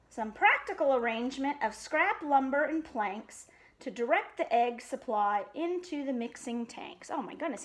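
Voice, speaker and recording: A woman reads a children's book aloud as a storytime host. Her voice is high and moves up and down expressively, with casual asides between passages. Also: a little hiss or hum.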